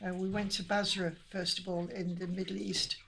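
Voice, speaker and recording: A woman's voice: mid-range voice, level tone, normal speed, creaky, fairly bright tone. Older Lancashire woman reminiscing. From Manchester, England. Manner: chatty, reminiscing with frequent restarts and laughs. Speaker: interviewee. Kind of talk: casual interview chat.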